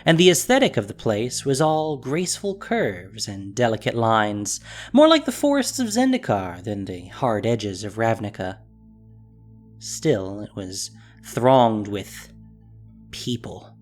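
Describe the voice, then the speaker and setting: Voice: medium pitch, big pitch swings, takes it slowly, soft background music. A narrator reading a scripted fantasy story in a dramatic storytelling style.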